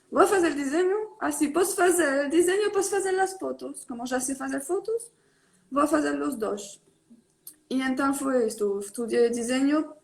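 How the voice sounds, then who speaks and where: A young adult woman on a video call, talking casually in an interview and switching between Spanish and Portuguese with many pauses and fillers. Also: high-pitched. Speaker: tattoo artist guest. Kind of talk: casual online live interview.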